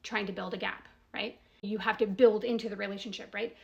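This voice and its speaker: A woman's voice: mid-range voice, steady tone, fairly quick, vocal fry, darkish tone, muffled recording. A woman giving a casual spoken analysis as a commentator, reasoning step by step with emphasis, asking probing rhetorical questions and often checking in with "right?". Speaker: commentator breaking down a voicemail.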